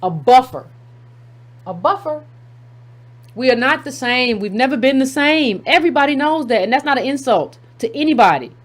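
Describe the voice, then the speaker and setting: A woman with a somewhat high-pitched voice reads a passage aloud to her audience. She stops often to comment in her own words, and she repeats key phrases to drive them home. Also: expressive, moderate pace. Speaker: commentary channel host. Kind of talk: reading a text aloud with running commentary.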